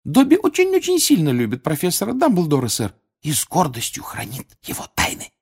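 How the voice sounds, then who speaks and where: A storybook reader voicing a timid, devoted little male house-elf with a squeaky, breathy voice and halting, servile speech. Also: huge pitch swings, a little mellow, exaggerated voice. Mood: nervous devotion. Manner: halting, servile, speaking of himself in third person.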